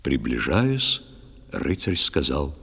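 A man narrating a poetic old tale for a children's audio play in a measured, expressive way, with drawn-out phrases. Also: a bass voice, creaky, slightly rough. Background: music.

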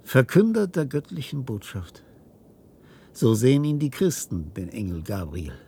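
An actor playing a weary, guilt-ridden old inventor in a science-fiction radio drama, halting and theatrical. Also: male voice, mid-range voice, sing-song, vocal fry, husky, full character voice. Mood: grave. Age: older. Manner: halting, theatrical delivery with hushed passages.

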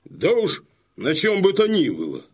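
An actor playing a proud, hot-tempered young Cossack son in a radio play, blunt and defiant. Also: male voice, high-pitched, wildly swooping pitch, measured pace, husky, exaggerated voice.

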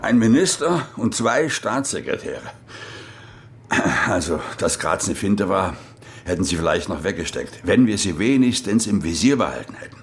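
A man's voice: sits low, sing-song, normal speed. An actor in a radio drama playing a grim male security official who reports in a terse way.

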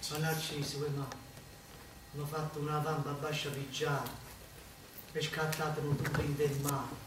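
An actor playing a commoner in a buffoonish tragic stage play. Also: male voice, on the high side, little pitch movement, moderate pace, natural voice. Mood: flat, grim. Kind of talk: stage acting. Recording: very echoey, distant, noisy stage recording.

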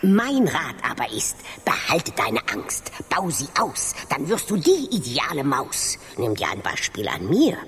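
An actress playing an ancient, fearful, self-important female mouse in a children's radio play, husky and halting, with portentous delivery. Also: sits low, huge pitch swings, fairly bright tone, full character voice. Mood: solemn warning. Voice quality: husky, raspy. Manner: halting, portentous, repeats words. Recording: long reverberant hall.

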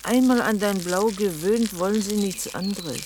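A woman's voice: mid-range voice, normal speed, noisy background, natural voice. An actress playing a shrewd, odd old village aunt in a German radio play, rambling and knowing as she tells things. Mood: knowing, sly.

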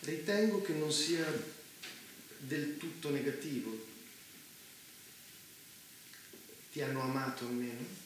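A man's voice: medium pitch, lively intonation, normal speed, natural voice. An actor playing an urbane, evasive man of power behind a mass killing, polite yet domineering in a theatre dialogue. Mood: probing, insistent. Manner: polite but domineering, precise, abruptly probing. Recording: very echoey room, faint noise.

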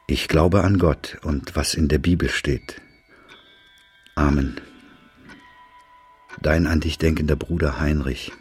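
A man's voice: extremely low pitch, steady tone, slow pace, long pauses, a little mellow, slightly rough, faint music behind, heavily acted. An actor playing a solemn, pious man reading out letters of faith and repentance in a radio play. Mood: pious, earnest. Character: solemn, pious male letter writer, penitent and admonishing.

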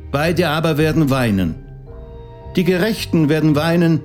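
A man's voice: on the high side, darkish tone. A man reading an old text as a solemn, scripted recitation to open an audio drama. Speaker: reader of an opening epigraph. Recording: music behind the voice. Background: music.

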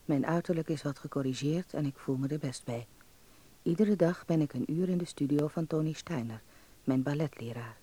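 Mellow, confiding young man. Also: a little high, moderate pace, husky. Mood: hopeful, confiding. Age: young adult. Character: hopeful young male actor narrating his letter home. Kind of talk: dramatised first-person letter narration.

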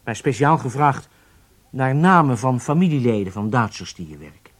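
An actor playing a calculating German undercover spy in a Dutch radio drama, explaining things deliberately and intimately to his partner. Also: medium pitch, expressive, close to an everyday voice. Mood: firm, secretive.

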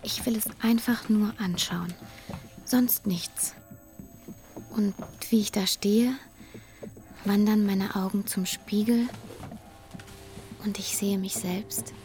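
A woman's voice: mid-range voice, steady tone, takes it slowly, pauses often, a little mellow, lightly acted. An actress playing an earnest young girl who tells her own story in an intimate radio drama. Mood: hushed, wistful. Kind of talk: radio drama narration and dialogue. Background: music.

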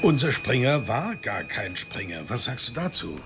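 Cynical cop with lively pitch. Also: male voice, moderate pace, music in the background. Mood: dry, incredulous. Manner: sarcastic, disgruntled. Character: grumbling, cynical male L.A. police detective. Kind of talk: German radio crime drama acting.

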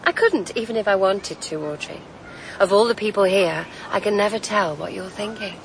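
An actress playing a quiet, watchful companion woman in a radio drama, soft-spoken and faintly teasing. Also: mid-range voice, normal speed, gravelly, slight background noise, lightly acted, poor audio. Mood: wistful. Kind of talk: BBC radio drama acting.

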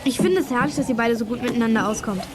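A woman's voice: fairly high pitch, very fast, background noise, close to an everyday voice. A woman playing a chatty, curious little girl in a German children's audio drama. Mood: eager.

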